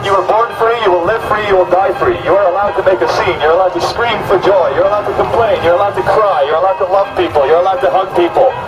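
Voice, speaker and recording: A man's voice: high voice, quick pace, hardly any pauses, low-quality recording. A man making a declamatory public speech outdoors on a city street, full of repeated slogans, like an activist addressing passers-by. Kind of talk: public street oratory, satirical proclamation. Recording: street recording with loud music.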